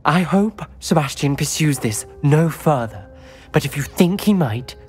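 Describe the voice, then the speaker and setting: A man's voice: a little high, lively intonation, unhurried, faint music behind. A young man with a British accent playing an anxious, principled teenage wizard in a game cutscene, grave, precise and reproachful. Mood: grave, worried. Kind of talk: video game cutscene acting.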